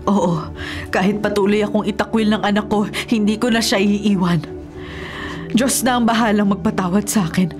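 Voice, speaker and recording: A woman's voice: mid-range voice, steady tone, normal speed, music in the background, close to an everyday voice. An actress playing a remorseful, devout middle-aged mother with a Filipino accent, earnest and solemn, in a radio drama. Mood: resolute.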